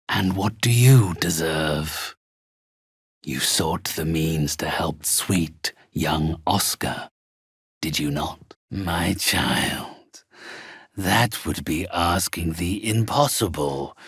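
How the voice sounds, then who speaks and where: A sinister old undead necromancer from a fantasy video game. His husky, gravelly voice moves at a slow, stately pace, often sinking into breathy whispers. He sounds haughty, theatrical and coldly condescending, with wide, swooping intonation. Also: low-pitched, fairly bright tone, no echo, clean studio sound, heavily acted. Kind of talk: video game character acting.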